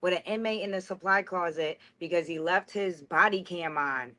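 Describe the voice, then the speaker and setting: A woman's voice: on the low side, normal speed, crowd noise. A young woman telling stories as an interview guest in a candid, rambling, slangy conversational way, saying "like" often. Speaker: interview guest, former prison inmate.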